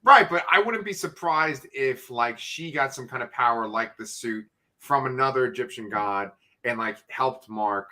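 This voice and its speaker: A middle-aged man co-hosting a casual live chat, excitable and swearing freely as he explains things. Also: mid-range voice, moderate pace, hardly any pauses. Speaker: co-host.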